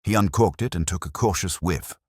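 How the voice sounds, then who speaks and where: A man's voice: deep voice, a little fast, a little mellow, breathy edge, dry recording, studio quality. A man reading scripted mystery fiction as a dramatic audiobook narrator.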